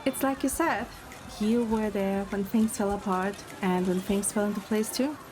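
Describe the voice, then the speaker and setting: A woman playing a fond, gently teasing young girlfriend and film producer in a romantic game audio drama. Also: medium pitch, steady tone, normal speed, over music, natural voice. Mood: reflective, tender.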